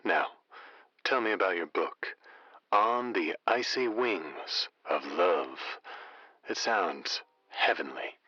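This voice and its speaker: An actor playing a smooth, flirtatious late-night radio host with a florid, theatrical way of talking in an audio drama. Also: male voice, low voice, animated, slow pace, pushed and bright, no room sound, lo-fi sound, heavily acted. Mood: charming, playful.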